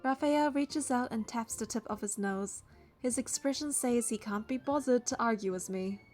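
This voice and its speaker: A woman with a fairly high voice reads the story of a video game aloud. She moves between narration and casual commentary, with light music playing behind her. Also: normal speed, a little mellow. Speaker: game playthrough creator reading story text aloud.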